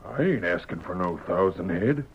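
Gloating deep-voiced outlaw boss. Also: male voice, lively intonation, normal speed, creaky, slightly rough, exaggerated voice. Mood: sly, scheming. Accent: American. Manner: folksy drawl, chuckling and crafty. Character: scheming, gloating male outlaw boss of the Old West. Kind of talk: old-time radio western acting. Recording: muffled, noisy old radio recording.

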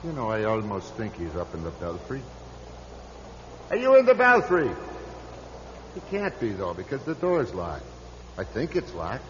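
A weary old priest, echoing, swooping pitch. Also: male voice, wildly swooping pitch, unhurried, pauses often, fairly bright tone, heavily acted. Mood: weary muttering. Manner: muttering to himself, exclaiming, evasive. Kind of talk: old-time radio drama acting. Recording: dull, noisy radio recording in echoing hall.

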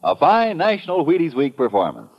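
A man's voice: fairly high pitch, wildly swooping pitch, fairly quick, soft background music. A man with an American accent reading a 1950s radio commercial as a folksy announcer. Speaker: announcer and sponsor pitchman. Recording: dull vintage radio recording.

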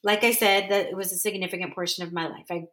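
A woman's voice: mid-range voice, steady tone, fast, fairly bright tone, echoey room. A woman from San Diego hosting a podcast, talking in a rambling, earnest way and often correcting herself. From San Diego, California. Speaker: podcast host. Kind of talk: casual solo monologue.

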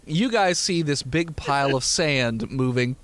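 A man's voice: mid-range voice, animated, measured pace, a bit bright, dry recording. A young man narrating as game master in a casual, profane, laughing way.

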